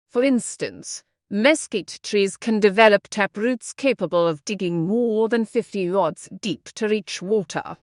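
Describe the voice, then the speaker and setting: Female reader for exam read-aloud passages, scripted delivery, medium pitch, dry recording. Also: no room sound. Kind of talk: scripted read-aloud narration of practice texts.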